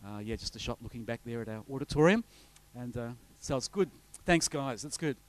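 Chatty Australian visiting pastor, dry sound. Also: male voice, mid-range voice, expressive, brisk, no room sound. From north coast of New South Wales, Australia. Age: middle-aged. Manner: chatty, rambling, frequent uh fillers and restarts. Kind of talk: informal sermon introduction with slides.

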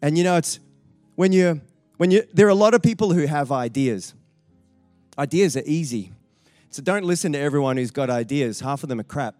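A man's voice: fairly high pitch, animated, fairly quick, a little mellow, no room sound, faint music behind. A man introducing the guest pastor to a church, building his point in emphatic, repeating steps. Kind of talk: sermon introduction.

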